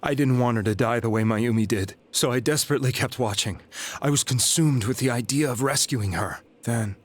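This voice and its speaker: An actor playing a despondent, guilt-ridden young man in a video game, telling his story in a grave, halting way. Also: mid-range voice, natural voice. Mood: troubled, earnest. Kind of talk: dramatic video game voice acting, confessional monologue. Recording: background music under the voice.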